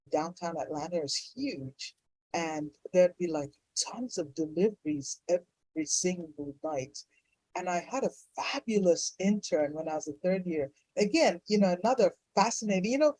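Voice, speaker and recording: Mellow, enthusiastic woman telling her story. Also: on the low side, no echo, rough recording. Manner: enthusiastic, effusive. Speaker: fertility doctor, interview guest. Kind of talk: casual personal storytelling in an interview.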